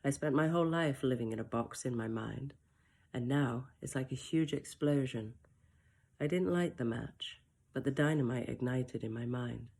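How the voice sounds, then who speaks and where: Woman author reading her own first-person story, close mic, soft and reflective, with wry asides. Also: extremely low pitch, moderate pace. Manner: soft, emotional and reflective, with brief self-mocking asides.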